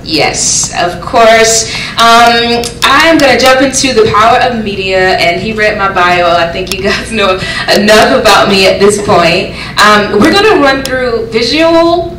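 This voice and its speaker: A woman's voice: mid-range voice, fairly bright tone. A woman presenting a workshop in a casual way, asking the room questions. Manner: chatty, asks the room questions. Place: conference room. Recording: echoey room, distant mic, faint noise.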